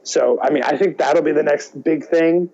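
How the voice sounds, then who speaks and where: A man co-hosting a podcast, chatting casually and thinking aloud in halting, hesitant phrases. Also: on the high side, creaky, warm tone, husky, muffled recording. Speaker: podcast co-host. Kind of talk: casual chat about movies and games.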